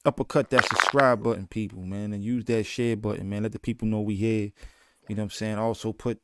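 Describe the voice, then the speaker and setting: Smooth-voiced laid-back man. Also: fairly low pitch, steady tone, fairly quick, a bit bright, dry recording, clean studio sound. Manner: laid-back, repeats "you know what I'm saying". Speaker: podcast co-host. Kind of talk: casual boxing podcast chat.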